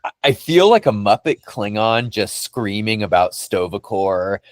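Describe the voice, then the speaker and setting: A man's voice: lowish voice, animated, unhurried, no room sound. A man hosting a podcast in casual fan chat, enthusiastic and effusive, rambling and circling back over his phrases. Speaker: podcast host.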